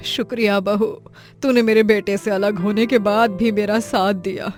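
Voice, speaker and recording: A woman's voice: mid-range voice, steady tone, normal speed, darkish tone, faint music behind, close to an everyday voice. An actress playing an elderly, protective and long-suffering mother-in-law in a melodramatic story cartoon, with an emotional delivery. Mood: grateful, tender. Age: older. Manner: emotional, pleading.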